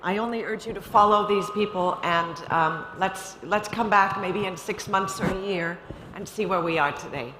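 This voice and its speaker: A woman's voice: mid-range voice, normal speed, noisy background. A woman moderating a conference panel, wrapping up graciously. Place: large conference stage. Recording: roomy hall from a distance.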